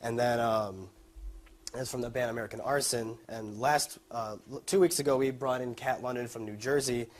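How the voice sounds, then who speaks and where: A young man giving an informal student presentation of a project, speaking a little hesitantly with frequent pauses. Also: medium pitch, fairly even intonation. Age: young adult.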